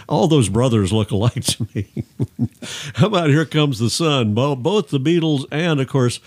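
A man's voice: medium pitch, expressive, measured pace, a little mellow. An older man co-hosting a music show, chatting casually with wry, teasing asides and reminiscences. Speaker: radio show co-host, former disc jockey. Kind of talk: casual chat about old songs.

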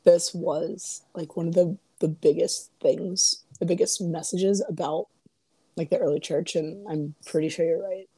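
Young female co-host, voice worn raspy and husky, low and dark, close dry mic, laughs easily. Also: measured pace, dry recording, rough recording. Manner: laughs often, relaxed and chatty. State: hoarse, voice partly lost. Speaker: podcast co-host. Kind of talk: casual faith podcast chat. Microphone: home podcast mic.

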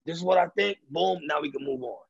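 Man, very gravelly raspy voice, husky, casual streetwise talk, lots of fillers, dull lo-fi sound. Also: fairly high pitch, fast, no echo. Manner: loose and streetwise, heavy fillers and repeated starts. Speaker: rapper and DJ interviewee.

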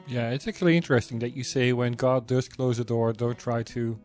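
A man's voice: mid-range voice, fairly quick, faint music behind. Male podcast host asking questions. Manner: relaxed, asks follow-up questions with restarts. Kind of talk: conversational interview.

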